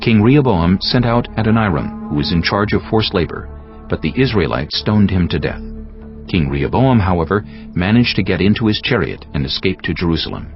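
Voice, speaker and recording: Deep-voiced man narrating scripture. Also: expressive, a little fast. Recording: slightly dull sound, music behind.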